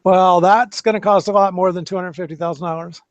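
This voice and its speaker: A man's voice: high voice, steady tone, a little fast, dry recording. A man hosting a casual car chat, talking in loose, rambling sentences with many restarts. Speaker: podcast host. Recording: dull lo-fi dry sound.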